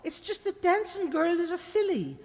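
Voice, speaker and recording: A woman's voice: very high voice, sing-song, normal speed, darkish tone. A woman playing a well-meaning, folksy landlady, in old-time radio comedy style. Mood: protesting, soothing. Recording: echoey hall, long reverb.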